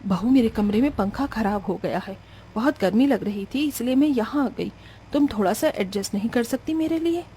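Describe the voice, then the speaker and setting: A woman voicing a stingy, middle-aged Indian-family mother-in-law who speaks low, soft and weary. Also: animated, normal speed, slight background noise, natural voice.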